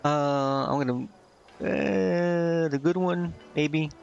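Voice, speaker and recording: A man giving casual, informal let's-play commentary as a game streamer, talking in a halting way. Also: fairly high pitch, steady tone, vocal fry. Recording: dull lo-fi mic, music behind. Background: game music.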